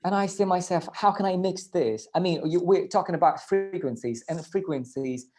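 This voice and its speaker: A man who produces music, talking in a casual panel chat in an earnest, self-deprecating, halting way. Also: sits high, darkish tone.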